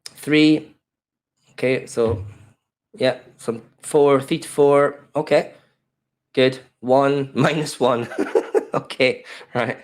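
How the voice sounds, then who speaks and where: A friendly Australian man in his fifties hosts a live webinar from his home office, his voice slightly croaky from a cold. He greets people by name and chats casually, picking his way through the talk with "uh" and "um". The sound is a plain webcam call at a middle pitch. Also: fairly even intonation, drawn-out words, long pauses. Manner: chatty, welcoming, loose with fillers. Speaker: webinar presenter. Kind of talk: live online workshop. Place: home office in a desk chair. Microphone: webcam video call.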